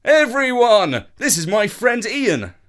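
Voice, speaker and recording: A man voicing a loud, jolly, persuasive uncle in a children's story, with an eager delivery. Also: sits high, sing-song, slow, voice pushed up, heavily acted.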